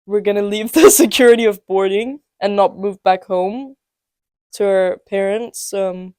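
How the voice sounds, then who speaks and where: A man's voice: high voice, a little slow, a little mellow, clear, resonant tone, dry recording. A young man in his late teens, co-hosting a casual podcast from a student apartment, joking and teasing with lots of fillers. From England and Denmark. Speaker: podcast co-host. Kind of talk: casual chat.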